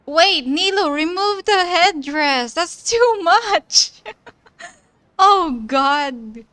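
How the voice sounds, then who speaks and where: A woman's voice: very high voice, expressive, drawn-out words, pushed and bright, dry recording. A woman doing casual live commentary as she plays a video game, exclaiming and teasing at what she sees on screen. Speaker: streamer reacting to a video game.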